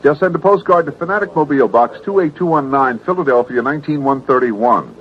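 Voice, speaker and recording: Man reading contest promo fast, mid voice, tinny old radio sound. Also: medium pitch. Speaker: promotional announcer. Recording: thin phone-like vintage radio recording.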